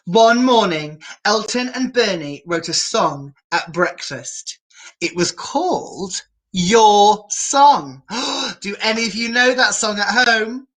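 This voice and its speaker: Slow, theatrical woman storyteller. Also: medium pitch, lively intonation, a bit bright. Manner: theatrical, playfully self-mocking. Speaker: children's storyteller, drag queen. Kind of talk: picture-book read-aloud with playful asides to viewers.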